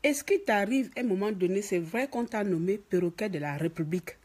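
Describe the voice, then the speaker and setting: Gabonese French woman, husky, mid-pitched; scolding, mocking political vlogger. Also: animated, fairly quick, a little mellow. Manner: scolding, mocking, emphatic repetitions. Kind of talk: ranting political commentary to camera. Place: office.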